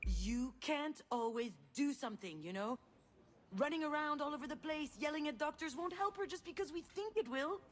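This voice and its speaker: An actress playing a guarded, self-doubting adult woman with a weary, sardonic, measured way of talking in a naturalistic video game drama. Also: high-pitched, animated, a little hiss or hum. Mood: weary, resigned.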